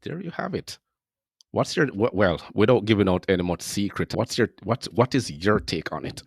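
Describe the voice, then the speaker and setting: A man's voice: fairly low pitch, expressive, normal speed, gravelly. A man hosting a casual interview show, talking in a conversational way. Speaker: podcast host.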